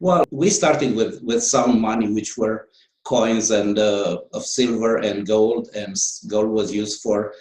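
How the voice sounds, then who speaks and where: A man's voice: lowish voice, slow, a little mellow, roomy sound, low-quality recording. A man with a Romanian accent, a guest author answering interview questions in a hesitant way, with many fillers and restarts.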